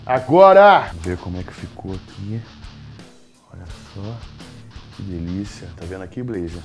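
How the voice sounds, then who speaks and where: A young Brazilian man hosting a comic cooking vlog in his home kitchen, brash and jokey, jumping from loud hype to low mutters. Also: animated, moderate pace, over music. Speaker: cooking show host.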